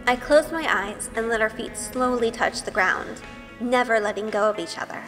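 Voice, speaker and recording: A woman's voice: a little high, normal speed, music in the background, close to an everyday voice, low-quality recording. A woman playing a sweet, anxious young witch in an audio drama, earnest and hesitant, also narrating. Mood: wistful narration. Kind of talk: audio drama acting with first-person narration.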